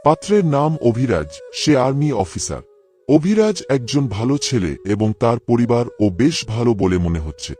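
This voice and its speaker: A man's voice: mid-range voice, sing-song, measured pace, a little mellow. A man playing a genial, respectful father and grandfather in a cartoon family drama, courteous and earnest. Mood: proud, gentle. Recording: music under the voice.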